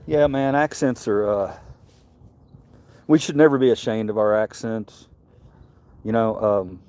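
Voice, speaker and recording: A man's voice: fairly low pitch, warm tone. A middle-aged man from Mississippi with a Southern accent, chatting casually on a livestream, rambling and repeating words. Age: Gen X, middle-aged. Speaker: livestream host.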